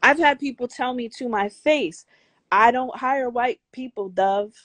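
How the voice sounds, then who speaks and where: An older woman with a high, girlish voice, speaking bluntly and emphatically as an interview guest, swearing freely. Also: a little slow, fairly bright tone, no room sound. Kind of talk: candid interview talk.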